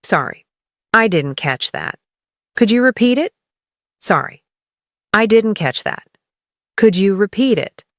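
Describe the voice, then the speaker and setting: A woman leading a scripted English lesson as a teacher, encouraging the learner and clearly enunciating model phrases for repetition. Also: medium pitch, sing-song, a little slow, pauses often, no room sound, studio quality. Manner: encouraging coaching.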